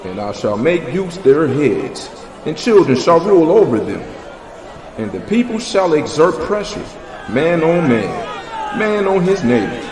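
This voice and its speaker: A man's voice: a little high, sing-song, measured pace, a crowd in the background, noisy background. A man narrating a dramatized scripture reading in a solemn, declamatory manner. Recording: music bed behind the voice. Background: musical score.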